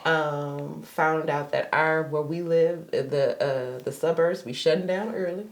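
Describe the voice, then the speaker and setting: A woman in her thirties hosting a marriage podcast in casual, chatty conversation, her voice slightly raspy today. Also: low voice, steady tone, unhurried. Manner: chatty, upbeat, laughs easily. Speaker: podcast host, marriage therapist associate.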